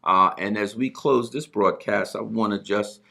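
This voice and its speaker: A man with a fairly low voice hosts an informal talk broadcast. He moves through announcements and questions in deliberate, halting phrases, pausing as he goes. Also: gravelly, pushed and bright. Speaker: broadcast host. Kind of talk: informal hosting, sponsor plugs and interviewing.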